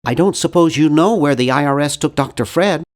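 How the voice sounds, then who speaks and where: A man's voice: a little high, brisk, close to an everyday voice. An actor playing a nerdy, earnest, awkward young man in an adventure game, with a geeky, fussy, wryly polite delivery. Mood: wry, chatty. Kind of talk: scripted video game voice lines.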